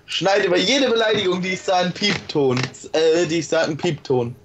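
A man's voice: high voice, fairly quick, noisy background. A young man chatting casually with friends over an online game, joking and teasing and laughing often. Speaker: gamer. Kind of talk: casual gaming chat with friends.

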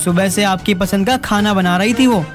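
An emphatic, earnest young husband and protective brother from a cartoon, voiced by an actor. Soft music runs under the speech. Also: medium pitch, moderate pace, natural voice. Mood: firm.

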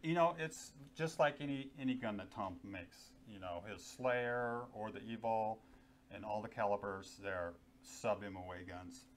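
A man's voice: on the low side, a little slow, a little hiss or hum. Easygoing middle-aged man in studio. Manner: easygoing, many fillers, enthusiastic. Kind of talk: casual product chat. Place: small indoor studio with guns on wall. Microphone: lapel.

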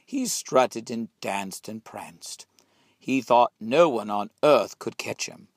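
A man narrating a children's storybook in an expressive, dramatic way. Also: mid-range voice, takes it slowly, no echo, clean studio sound. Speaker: storybook reader.

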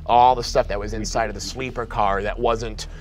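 A man running a tabletop horror game as game master, describing scenes and trailing into low murmurs. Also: fairly even intonation, normal speed, a little hiss or hum. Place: studio set at a gaming table.

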